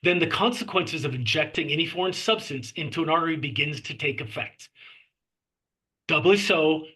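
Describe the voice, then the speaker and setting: A man narrates the action lines of a screenplay. His voice is slightly high and bright, with a clearly husky grain, as he reads scripted scene directions. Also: normal speed. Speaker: narrator reading stage directions.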